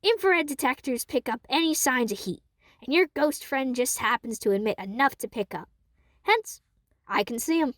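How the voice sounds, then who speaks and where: A woman voicing a quirky male radio hobbyist living off the grid, talking casually and dropping to a hush for secrets. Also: sits high, expressive, normal speed, no room sound, clean studio sound. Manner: casual, hushed when sharing secrets.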